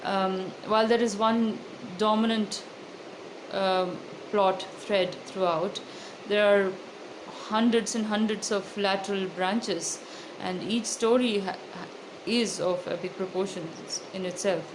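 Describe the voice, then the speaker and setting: A woman with an Indian accent giving thoughtful, articulate interview answers as an author, in a measured way with hesitant pauses. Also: mid-range voice, steady tone. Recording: loud background noise.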